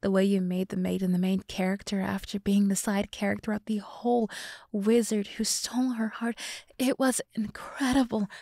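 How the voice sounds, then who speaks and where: A woman voicing a flattering, smug demon woman devoted to her summoner in an ASMR roleplay, soft and breathy, often dropping to a whisper. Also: medium pitch, normal speed, no echo, clean studio sound. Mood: adoring. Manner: seductive, boastful, theatrical.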